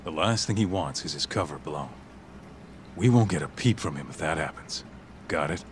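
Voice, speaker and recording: An actor playing a gruff, irritable, foul-mouthed male sidekick in a video game, curt and sarcastic. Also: extremely low pitch, expressive, normal speed, a little hiss or hum. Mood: gruff, impatient. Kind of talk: video game cutscene acting.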